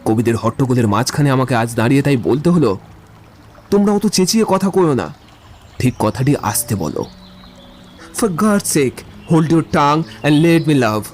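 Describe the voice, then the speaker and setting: A man's voice: mid-range voice, expressive, measured pace, long pauses, darkish tone, soft background music, lightly acted. A man playing an eloquent young man in love in a literary audio drama, speaking in lyrical, wordy speeches. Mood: poetic ardour.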